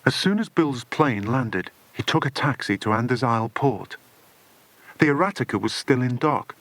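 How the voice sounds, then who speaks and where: A man reading a children's adventure story as a dramatic, expressive audiobook narrator. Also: mid-range voice, moderate pace, no room sound.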